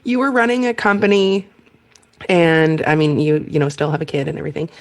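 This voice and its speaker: A woman hosting a podcast, chatting casually with an old friend in a friendly, effusive way with quick backchannels. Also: deep voice, steady tone. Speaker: podcast host. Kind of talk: casual interview chat.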